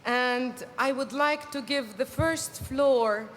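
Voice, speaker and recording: A woman moderating a conference panel in a formal, measured way, pausing now and then with fillers. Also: sits high, a bit bright, slight background noise. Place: conference hall. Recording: reverberant hall with faint ambience.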